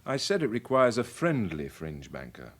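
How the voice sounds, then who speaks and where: Worldly British chief inspector, middle-aged. Low-pitched, animated delivery, measured, explanatory, wry. Radio drama. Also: male voice. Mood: measured, emphatic. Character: worldly, wry senior police chief briefing his sergeant.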